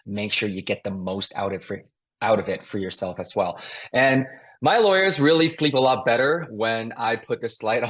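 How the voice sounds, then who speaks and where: Upbeat, husky-voiced man hosting a webinar. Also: lowish voice, a bit bright. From Taiwan. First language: Mandarin. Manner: upbeat, chatty, self-deprecating, laughs between phrases. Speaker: investing mentor and webinar host. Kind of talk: live webinar presentation. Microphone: video call. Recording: dull lo-fi video call audio.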